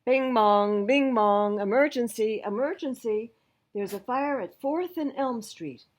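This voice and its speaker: A woman voicing an urgent fire station dispatcher in a storybook, chanting a loud announcement. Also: on the high side, a little slow. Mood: urgent announcing.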